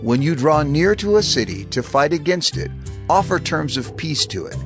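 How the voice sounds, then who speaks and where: Measured male scripture narrator over music. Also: fairly high pitch, sing-song. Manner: measured, formal.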